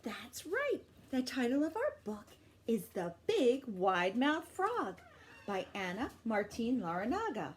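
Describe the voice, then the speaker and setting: A woman hosting a children's storytime, reading aloud with expressive, encouraging, exaggerated delivery. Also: a little high, a little slow, breathy edge. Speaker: library storytime host. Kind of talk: animated read-aloud for young children.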